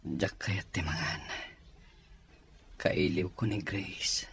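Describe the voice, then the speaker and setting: A man playing a confused young husband with memory loss, talking in a soft breathy whisper. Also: low voice, steady tone, takes it slowly, exaggerated voice. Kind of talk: amateur audio drama acting.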